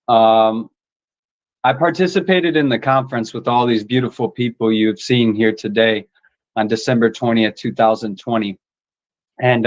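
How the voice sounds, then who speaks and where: A man with an American accent speaking sincerely and reflectively to an online audience about his experience. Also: fairly low pitch. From Michigan, United States. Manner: reflective and sincere, with soft fillers. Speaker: conference participant sharing his experience. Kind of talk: unscripted speech to an online audience. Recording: dull lo-fi audio.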